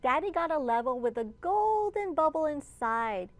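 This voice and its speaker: A woman children's librarian reading aloud to young children in an encouraging storytime manner, pausing to ask simple questions. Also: high voice, animated, dry recording. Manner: slow, encouraging, asks listeners simple questions.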